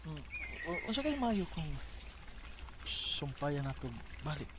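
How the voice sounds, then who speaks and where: A man's voice: fairly high pitch, sing-song, slow. A man with a Filipino Cebuano accent acting an earnest, unlucky-in-love bachelor suitor in a radio drama. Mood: hopeful. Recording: very noisy radio drama with ambience.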